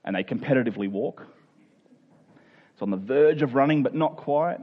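A man's voice: mid-range voice, expressive, moderate pace, muffled recording. A man preaching a conversational Bible sermon in an earnest way, posing rhetorical questions and voicing imagined objectors. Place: speaking live to a congregation.

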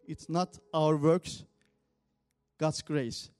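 A man's voice: a little high, steady tone, a little mellow, smooth. Earnest Turkish-accented man at communion. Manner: earnest, simple phrasing with pauses. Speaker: church speaker leading communion. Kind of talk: communion meditation and prayer. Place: church service.

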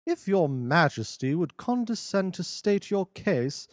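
A man reading a classic detective story, voicing a sharp-witted gentleman detective who talks crisply and with command. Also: high-pitched, sing-song, a little slow, dry recording, studio quality, voice pushed up. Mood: brisk, assured. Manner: crisp, commanding, dryly amused. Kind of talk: audiobook character voice.

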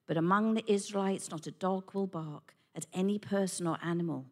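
A woman voicing Moses, a stern older male prophet delivering a solemn warning, her voice husky. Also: lowish voice, a little fast, lightly acted.

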